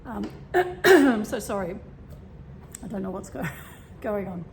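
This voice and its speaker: A woman with a slightly croaky voice talking casually and meanderingly about spirituality, with many fillers and throat clearing. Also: mid-range voice, slow pace. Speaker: spiritual vlogger. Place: home room with a fan running. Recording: steady fan hum behind. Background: fan noise.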